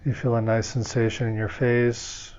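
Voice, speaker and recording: A man leading a guided meditation as its teacher, speaking gently and soothingly, in an unhurried way with long pauses. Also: medium pitch, flat delivery, smooth, muffled recording.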